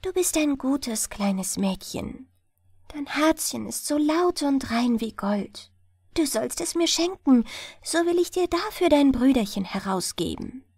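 Wistful high-voiced water nixie, voiced by a woman. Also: animated, a little slow, a little mellow, no echo, clean studio sound. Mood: gently coaxing. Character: water nixie, melancholy, bargaining female lake spirit.

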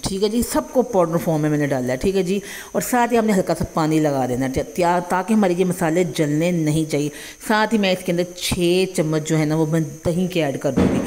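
A young woman with a Punjabi accent talks viewers through a home recipe, step by step. Her voice sits a little low. She is chatty and keeps checking in with her audience. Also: mellow. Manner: chatty, repeats "theek hai ji" often. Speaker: cooking channel host. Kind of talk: home cooking tutorial voice-over. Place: home kitchen.

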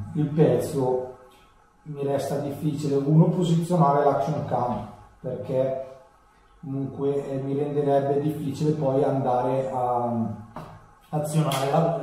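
A man in his thirties giving a casual, unscripted tutorial in a halting, hesitant way. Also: medium pitch, mellow. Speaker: motovlogger presenting a tutorial. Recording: echoey room, distant camera mic.

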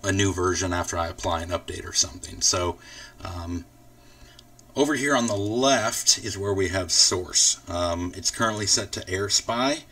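A man hosting a casual software walkthrough, explaining things informally step by step. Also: low-pitched, normal speed, no echo, distant mic. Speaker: tutorial host.

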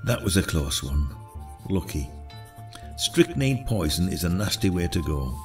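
Older male sleuth, played by an actor, low lively voice, gruff yet kind, for a village murder podcast. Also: measured pace, faint music behind, exaggerated voice. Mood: wry, reflective. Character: shrewd retired village detective, gruff but kindly. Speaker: lead actor in a podcast drama. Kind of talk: audio drama acting and narration.

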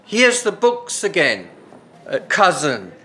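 A man's voice: on the high side, huge pitch swings, takes it slowly, heavily acted. A man playing a courteous, formal hotel manager in an amateur radio play. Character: polite, obliging male hotel manager. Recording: music playing loudly over the voice.

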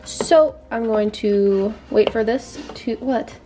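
A woman's voice: on the high side, slow, warm tone, a little hiss or hum. A young woman in a casual vlog, talking to a handheld camera at arm's length, chatty and rambling with lots of fillers. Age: young adult. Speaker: vlogger. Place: grocery store, car and bedroom.

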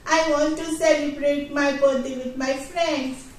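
A woman voicing a sweet young birthday boy in a children's puppet show, with a childlike, drawn-out delivery. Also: high voice, steady tone. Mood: sad, pleading. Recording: echoey room, distant mic, music behind.

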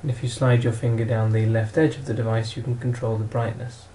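A man's voice: medium pitch, level tone, a little fast, dark tone, a little hiss or hum. A man narrating an instructional product walkthrough in a plain, matter-of-fact way, as a demonstrator.

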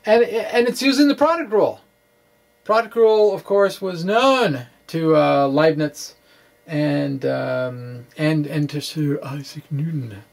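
A middle-aged man giving an informal physics explanation to camera, speaking haltingly in a wry, quirky way with playful asides, into a microphone in a small room. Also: on the high side, vocal fry. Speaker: physics blogger and presenter. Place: small indoor room with bookshelves. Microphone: microphone on a stand in front of him.

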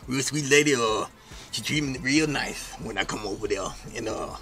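A man's voice: medium pitch, normal speed, bright, forceful tone. A man with a Memphis Southern accent playing a sleazy, flirtatious backyard mechanic in an improvised outdoor comedy skit, talking in a slick, rambling drawl. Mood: salesy. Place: outdoors in a suburban driveway.

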